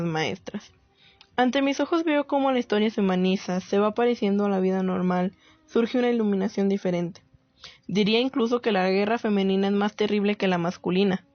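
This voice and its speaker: A woman playing a reflective writer, narrating a radio play in a solemn, deliberate, literary way. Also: mid-range voice, dry recording, dull audio, close to an everyday voice. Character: reflective woman writer narrating her search for women's war stories.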